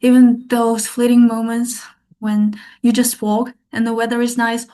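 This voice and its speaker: Soft-spoken woman artist, even tone. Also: fairly high pitch, measured pace. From Kazakhstan. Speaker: artist guest. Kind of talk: podcast interview.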